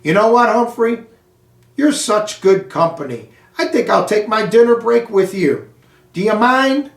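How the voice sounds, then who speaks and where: A man's voice: high-pitched, lively intonation, measured pace, vocal fry, heavily acted. A man voicing a friendly, jovial night janitor from a children's storybook, chatty and folksy. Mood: jovial, showy. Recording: roomy echo, distant microphone.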